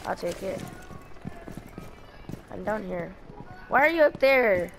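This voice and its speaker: A woman's voice: mid-range voice, lively intonation, takes it slowly, music in the background. Giggly young woman chatting while gaming. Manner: giggly, teasing, laughs often. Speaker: gamer streaming with a friend. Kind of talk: casual gameplay chat.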